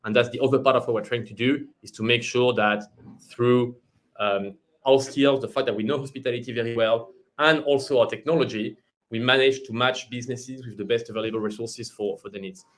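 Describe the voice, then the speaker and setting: A man, a company managing director, explaining how his product works in a webinar, in a pitching way. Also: steady tone, fairly quick.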